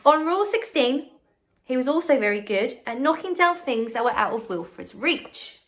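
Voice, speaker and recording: Lady teacher reading a picture book to little kids, highish voice, expressive, chats and asks questions as she goes. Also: normal speed. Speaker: primary school teacher reading a story to pupils. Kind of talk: children's storybook read-aloud with chatty asides.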